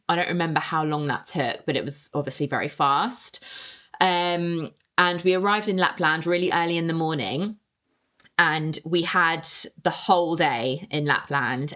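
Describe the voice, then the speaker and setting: Host: young woman, low-pitched, rambling and chatty. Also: a bit bright. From England. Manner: chatty, rambling, reading listener answers aloud. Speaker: podcast host. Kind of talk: casual solo podcast chat.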